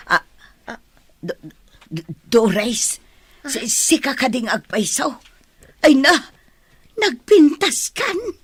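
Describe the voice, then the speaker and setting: An actress playing a gossipy, bossy but kindly household helper woman with a husky voice in an Ilocano radio drama. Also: high voice, pushed and bright, full character voice. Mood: flustered, admiring.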